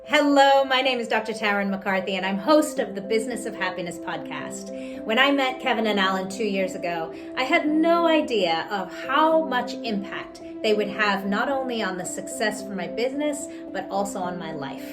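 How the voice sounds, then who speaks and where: A woman's voice: medium pitch, lively intonation, normal speed. A woman who hosts a podcast, giving an earnest, grateful scripted testimonial. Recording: voice over music.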